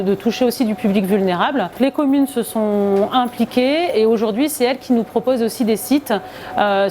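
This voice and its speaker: A woman's voice: medium pitch, dark tone, a little hiss or hum. A woman, speaking as a local project representative in an explanatory interview.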